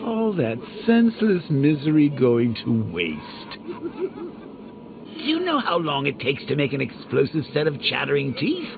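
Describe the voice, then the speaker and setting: An actor playing a manic, sadistic male clown supervillain in a video game, with a gravelly, mocking, theatrical delivery. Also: fairly high pitch, wildly swooping pitch, measured pace, noisy background, exaggerated voice. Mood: indignant. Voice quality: gravelly, mocking, swooping sing-song delivery. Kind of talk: video game villain voice lines. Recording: tinny lo-fi game audio with music.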